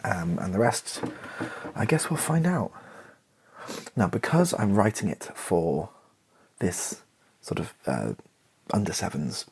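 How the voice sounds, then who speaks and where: A British man doing casual, improvised ASMR, thinking aloud in hesitant fragments with a soft, breathy, partly whispered voice. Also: low-pitched, very animated, slow, pauses often. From Britain. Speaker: ASMR video creator thinking aloud while writing.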